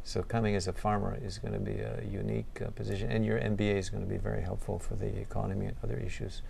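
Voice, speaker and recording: A man's voice: lowish voice, flat delivery, quick pace, gravelly, darkish tone, husky. A man hosting a political interview show, asking questions and interjecting in a conversational way. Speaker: host.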